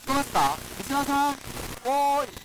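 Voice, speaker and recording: A man's voice: extremely high pitch, animated, brisk, noisy background. A man playing a geeky, rambling radio host facing doomsday in a radio drama, talking hesitantly and digressively. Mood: anxious, calling out. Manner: hesitant, digressive, self-interrupting. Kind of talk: radio drama monologue, conversational.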